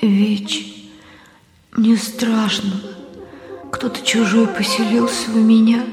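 A scared childlike drinker wife, radio play, super slow n plaintive, lots of reverb, music behind. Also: female voice, fairly high pitch, level tone, a little mellow. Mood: frightened, pleading. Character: frightened, childlike alcoholic wife, plaintive and lost. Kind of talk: radio drama acting.

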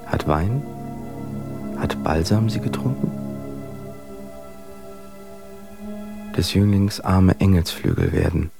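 An actor playing an anguished romantic poet, reciting feverish verse with brooding solemnity and a gravelly voice in a radio drama. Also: male voice, low-pitched, unhurried, music in the background. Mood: anguished, fervent.

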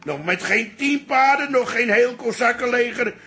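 Elderly doting father, pompous and cajoling, high bright voice, radio comedy-drama. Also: normal speed. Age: older. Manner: grandiloquent, cajoling. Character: possessive, pompously sentimental old father of grown daughter.

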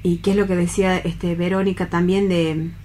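A woman with an Argentine accent talking earnestly and haltingly in a reflective radio discussion. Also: fairly low pitch, level tone, mellow, a little hiss or hum. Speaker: panelist on a radio theatre programme.

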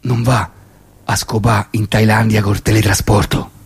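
Man acting a husky, grumbling Roman old grandfather. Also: lowish voice, level tone, unhurried, pitched below the performer's own voice, full character voice. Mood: indignant. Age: older. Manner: ranting, repetitive, stammering, sweary. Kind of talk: comic monologue at a live reading.

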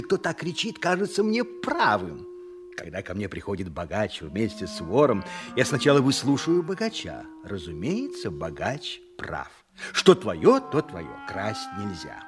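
An actor playing a middle-aged, peace-loving, muddled town judge who ponders aloud as he tells his story in an audio drama. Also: mid-range voice. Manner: musing, conciliatory, drawn-out pondering.

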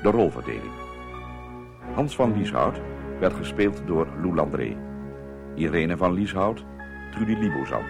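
A man's voice: low voice, sing-song, measured pace, creaky, pauses often. A man reading formal scripted credits as a radio announcer. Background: music.

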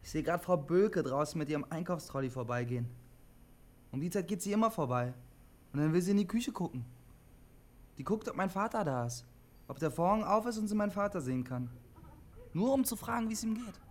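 A young CB radio loner in his twenties for a radio drama. His voice sits fairly high for a man. He talks haltingly and stubbornly, repeating himself, awkward and quick to defend himself. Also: lively intonation. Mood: withdrawn, flat. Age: 20s. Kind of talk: German radio drama acting.

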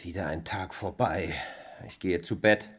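An actor playing a wounded, reproachful housebound brother in a German radio play, speaking in a grave and portentous way. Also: male voice, low-pitched, steady tone, unhurried, a bit bright, husky, exaggerated voice. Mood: resigned.

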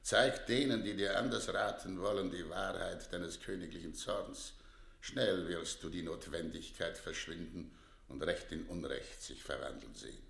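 An actor playing a frail old earl who counsels royalty, solemn, emphatic and pleading, in a classical radio play. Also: male voice, low voice, measured pace, vocal fry, fairly bright tone, echoey room, heavily acted. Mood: urgent pleading. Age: older.

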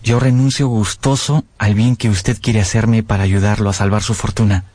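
An actor playing an earnest, lovestruck young man who narrates his past in a measured, literary radio drama style. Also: lowish voice, fairly even intonation, a little mellow, no room sound, lightly acted. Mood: grave, respectful. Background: music.

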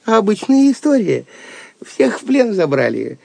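An actor playing a wry, mocking, plucky jester with clownish, lamenting banter in an audio drama. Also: male voice, high voice, sing-song, unhurried, vocal fry, dark tone, dry recording, full character voice.

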